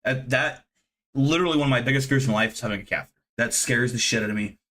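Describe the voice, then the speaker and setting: Thirties male streamer, loose profane chatter, mid-range pitch, self-deprecating. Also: fast, sharp tone. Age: 30s. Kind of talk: casual live-stream chat with viewers.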